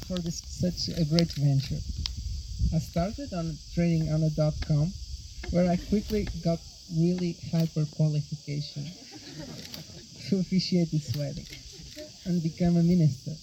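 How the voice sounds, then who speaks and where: A man from Portugal with a Portuguese accent, speaking Portuguese natively and giving an earnest, halting wedding speech as officiant. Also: a little high, fairly even intonation, noisy background. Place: outdoor wedding. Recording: dull sound, loud outdoor crickets.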